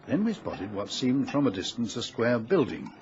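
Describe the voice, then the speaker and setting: An actor playing a courteous, learned gentleman archaeologist in a period radio drama, speaking formally and precisely. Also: mid-range voice, very animated, normal speed, natural voice. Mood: absorbed, explanatory. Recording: muffled radio drama with faint background noise.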